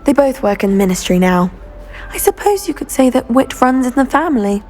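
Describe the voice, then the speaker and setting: A woman's voice: sits high, sing-song, brisk, a little mellow. A woman with a British accent playing a boastful, self-important teenage schoolgirl in a video game, in a haughty, affected manner. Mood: self-satisfied. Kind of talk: video game character dialogue.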